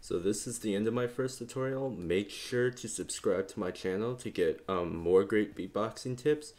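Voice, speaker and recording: A young man with a very low bass voice walks the listener through beatbox sounds. He talks casually and rambles a bit, leaning on fillers as he explains. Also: moderate pace. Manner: relaxed, rambling, many fillers. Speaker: beatbox tutorial host. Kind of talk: casual instructional talk.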